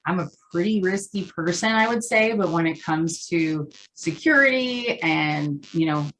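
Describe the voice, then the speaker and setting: A woman's voice: on the low side, lively intonation, a little slow, a bit bright, poor audio. A woman who is an interview guest from the lending business, chatting casually and telling personal stories. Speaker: interview guest, loan officer. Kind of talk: casual chat, personal storytelling.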